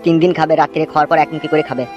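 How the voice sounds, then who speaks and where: Man playing a fast-talking village doctor. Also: on the high side, fairly even intonation, dull audio, close to an everyday voice. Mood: placating. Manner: placating, lecturing. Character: calm, advising male village doctor. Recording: faint music behind the voice.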